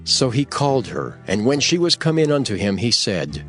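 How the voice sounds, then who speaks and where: A man narrating dramatized scripture in a solemn, expressive storytelling manner. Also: mid-range voice, moderate pace. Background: music.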